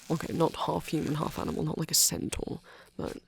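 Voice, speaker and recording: A man performing an intimate ASMR roleplay in a husky, creaky voice, as a character chatting to a listener. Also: a little high, level tone, normal speed, a little hiss or hum.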